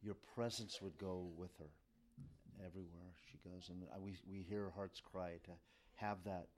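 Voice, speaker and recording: Very deep-voiced man praying reverently. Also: fairly even intonation, darkish tone, slight background noise. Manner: halting, reverent, with restarts. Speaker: church member leading prayer. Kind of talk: spoken prayer.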